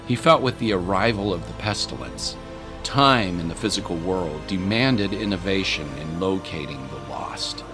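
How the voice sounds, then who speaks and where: A man's voice: low voice, lively intonation, normal speed. A man narrating a dramatic scripted story. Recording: music and rain sounds behind.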